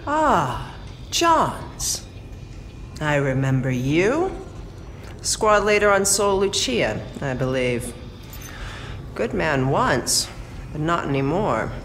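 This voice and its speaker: Cold, slow-talking ship captain. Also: female voice, low-pitched, very animated, slight background noise, heavily acted. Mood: taunting, cool. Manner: measured, imperious, menacing. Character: cold, commanding spaceship captain of mercenaries, threatening. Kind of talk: cinematic video game voice acting.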